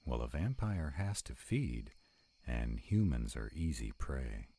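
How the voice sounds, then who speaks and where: A man's voice: very deep voice, very animated, slow, dark tone, breathy edge, exaggerated voice. A man playing a sarcastic, protective vampire in a conversational audio roleplay. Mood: wry, relaxed. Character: sarcastic male vampire, protective of a young demon companion.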